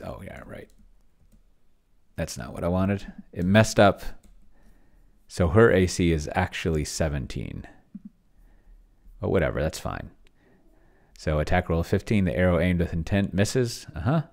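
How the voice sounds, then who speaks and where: A middle-aged man thinking aloud casually at his desk, often muttering and trailing off as he narrates what he is doing on screen. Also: low voice. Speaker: host. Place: indoor home room at a desk.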